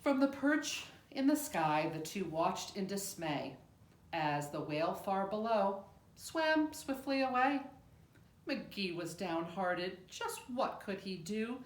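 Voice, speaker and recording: A woman reading a rhyming picture book aloud in an expressive, measured storytelling manner with deliberate pauses. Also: fairly low pitch. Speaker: storybook reader. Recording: roomy, echoing room sound.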